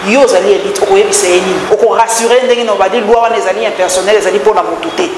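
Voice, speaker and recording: A Congolese-accented interviewer keeps pushing the guest with pointed questions, at a middling pitch. The voice sounds distant and roomy, with a long hall reverb and a steady background hum. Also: racing. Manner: pressing, challenging the guest. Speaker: talk-show interviewer.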